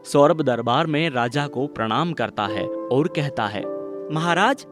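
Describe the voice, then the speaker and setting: A man's voice: mid-range voice, a little slow. A man narrating a scripted moral story for children in a storytelling style. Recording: music behind the voice. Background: background music.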